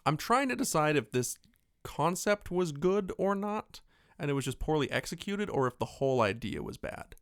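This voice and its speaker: A man's voice: a little high, sing-song, moderate pace, smooth, dry recording, clean studio sound. A man co-hosting a podcast, chatting casually about films in a rambling, jokey way with some swearing. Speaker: podcast co-host. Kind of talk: casual film discussion chat.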